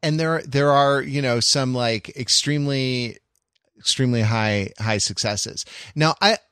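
A man's voice: medium pitch, fairly bright tone, clean tone, no echo. Man musing in a dry close voice. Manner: thoughtful, halting explanation with many restarts. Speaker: podcast co-host. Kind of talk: casual chat.

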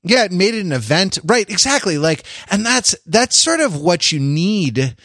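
An American man co-hosting a podcast in casual analytical discussion, wordy and intellectual, often restarting his sentences haltingly. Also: high voice, sing-song, fairly bright tone, no echo, studio quality. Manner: hesitant, halting delivery with repeated false starts. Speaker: podcast co-host.

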